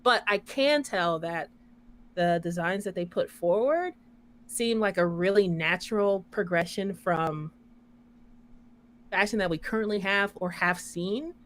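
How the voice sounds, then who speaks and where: A woman with a soft voice co-hosts a casual podcast. She talks in a chatty, qualifying way, sprinkling in "like" and "you know" as she goes. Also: mid-range voice, a little slow. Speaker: podcast co-host. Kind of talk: casual chat.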